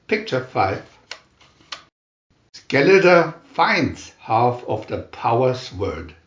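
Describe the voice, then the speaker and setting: A man reading a storybook aloud as dramatic narration, in a theatrical, deliberate manner with emphatic announcements. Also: medium pitch, big pitch swings, echoey room, lo-fi sound. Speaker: reader of picture-reel story booklets.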